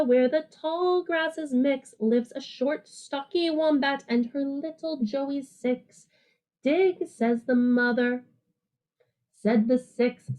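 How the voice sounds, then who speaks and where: A woman teacher reading a picture book aloud to children in an animated, sing-song way, with simple, casual explanations. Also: high-pitched.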